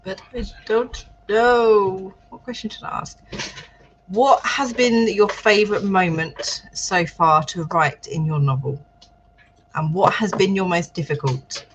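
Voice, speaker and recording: A woman hosting a writing livestream, chatting in a lively, self-deprecating way with her co-host. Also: medium pitch, measured pace, highs cut off, slight background noise. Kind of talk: casual chat on a writing livestream.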